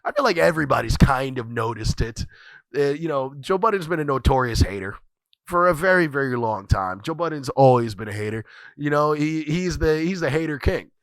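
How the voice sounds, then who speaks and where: A man's voice: fairly high pitch, lively intonation, a little fast, a bit bright, no echo. Congested man riffing on his podcast. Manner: rambling, repeats phrases, swears freely. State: congested, scratchy voice from a cold. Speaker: podcast host. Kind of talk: casual profane solo commentary.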